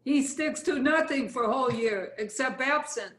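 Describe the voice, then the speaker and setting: A woman's voice: sits high, normal speed, fairly bright tone, roomy sound. A woman playing a blunt, worldly Russian artist's model in a play reading, with a Russian accent and clipped broken English. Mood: disdainful. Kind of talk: readers theatre play reading.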